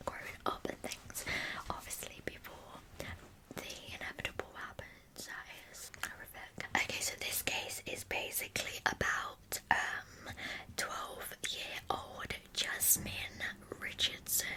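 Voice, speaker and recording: A woman speaking in a soft, unvoiced whisper as an ASMR host narrating a true crime story. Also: low-quality recording. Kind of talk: whispered ASMR storytelling, reading with chatty asides.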